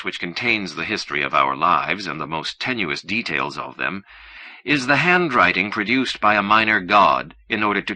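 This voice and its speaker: A man reading literary fiction as an audiobook narrator, in a measured, formal and precise manner. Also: deep voice, bright, forceful tone, dry recording.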